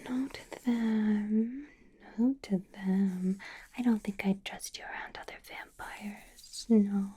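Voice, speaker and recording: A possessive, money-minded vampire boss played by a woman murmurs in a breathy whisper, slow and unhurried. The tone is soft and mellow, coaxing and doting, in the close style of an ASMR roleplay. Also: mid-range voice, steady tone. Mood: musing, coaxing.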